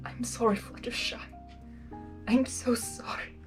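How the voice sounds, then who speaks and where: A woman voicing a weak, tender male chimera creature in a storybook reading, speaking in a soft, breathy, resigned half-whisper. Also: a little high, steady tone, a little slow, over music, lightly acted. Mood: weak, sorrowful. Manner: faint, gentle, resigned.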